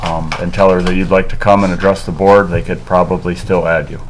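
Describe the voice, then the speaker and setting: A very deep-voiced man running a public meeting. He answers briefly and plainly. Faint noise lies in the room behind him. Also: fairly even intonation, brisk, low-quality recording. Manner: brief, matter-of-fact. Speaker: board chair.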